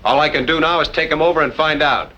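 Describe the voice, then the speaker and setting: A man's voice: fairly high pitch, fast talker, sharp tone. An actor playing a terse, suspicious man of the West who gives orders dryly in a radio western. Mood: exasperated. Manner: terse, commanding, dry. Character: stern, suspicious western cattleman taking charge. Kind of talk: old time radio western acting. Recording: muffled, noisy old radio recording.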